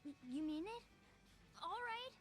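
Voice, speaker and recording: An actor voicing a shy, earnest and lonely young boy in a video game, speaking softly and hesitantly. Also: extremely high pitch, expressive, a little slow, heavily acted. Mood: hopeful. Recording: loud game music behind.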